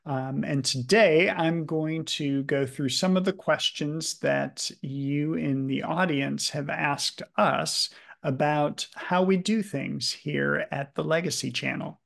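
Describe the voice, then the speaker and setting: Man, slightly high voice, gravelly, easygoing question host. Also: fairly even intonation, takes it slowly, fairly bright tone. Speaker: video producer. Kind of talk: reading audience questions.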